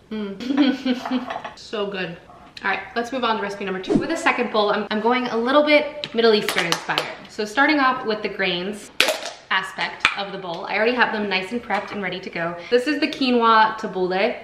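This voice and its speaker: A young woman talks through recipes in her own home kitchen, chatty and upbeat with a casual, rambling flow. Her voice sits in an ordinary middle pitch. The room echoes a little, and a faint hiss sits under her words. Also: a bit bright. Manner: chatty, enthusiastic, lots of "like" and "so many". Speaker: cooking channel host. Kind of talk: casual cooking tutorial.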